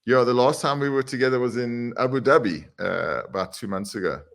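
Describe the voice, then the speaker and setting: A man's voice: mid-range voice, fairly quick, creaky. A male podcast host introducing a guest and asking questions. He talks casually and loosely, padding his sentences with fillers as he goes. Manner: conversational, frequent "uh" and "you know" fillers. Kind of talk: interview podcast.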